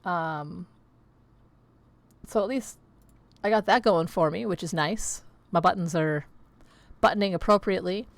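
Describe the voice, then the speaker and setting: Young adult woman, casual livestream host, slightly scratchy, lower voice, chatty and meandering. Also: unhurried, creaky, pauses often, no room sound. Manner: rambling, filler-heavy, chatty. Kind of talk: casual chat with viewers. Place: home streaming setup with green screen.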